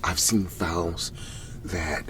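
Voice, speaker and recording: A man playing a weary, desperate cleanup agent in an ASMR roleplay, pleading in halting, breathy whispers and murmurs. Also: low-pitched, steady tone, noisy background. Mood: weary, troubled.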